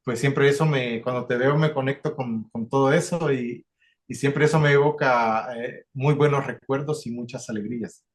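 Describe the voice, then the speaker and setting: A man's voice: mid-range voice, fairly even intonation, moderate pace. A Salvadoran man, a community facilitator, talking in a video call interview, with many fillers and restarts.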